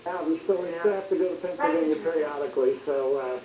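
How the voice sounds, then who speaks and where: A man's voice: on the high side, quick pace, over a noisy crowd. A man speaking as a doctor guest on talk radio, explaining things informally and repeating phrases as he goes. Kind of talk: conversational medical explainer. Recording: roomy, hissy, faint noise.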